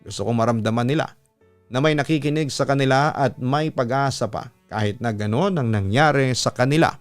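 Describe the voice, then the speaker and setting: A man with a Filipino accent, a radio storyteller, speaking in an earnest, measured way as he reads letters and gives advice. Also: mid-range voice. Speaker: radio announcer and story-reading host. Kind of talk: narrating a listener's letter, then advice to the sender. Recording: music bed under the voice. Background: instrumental music.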